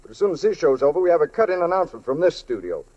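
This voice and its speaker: A man's voice: fairly high pitch, sing-song, very fast, darkish tone, husky. An actor playing a courteous, businesslike male studio technician in a 1940s radio play, matter-of-fact. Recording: dull old radio recording.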